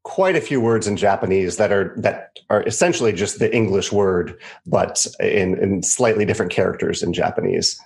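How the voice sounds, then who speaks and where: A man's voice: low-pitched, normal speed, vocal fry, few pauses, darkish tone. An American man being interviewed over a video call, easygoing and laughing often. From United States. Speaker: guest, game designer. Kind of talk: casual interview chat.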